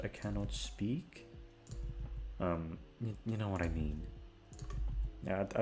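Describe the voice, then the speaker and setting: A young British man chatting casually and hesitantly, meandering, repeating words and gently mocking himself. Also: on the low side. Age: young adult. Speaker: voice actor talking to listeners. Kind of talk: casual rambling chat.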